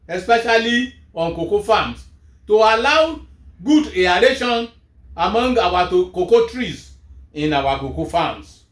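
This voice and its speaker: A man, a Yoruba speaker, giving a measured, emphatic farm advisory talk as host of a farming show. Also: very high voice, a bit bright, reverberant. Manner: measured, emphatic, rising then falling at each phrase.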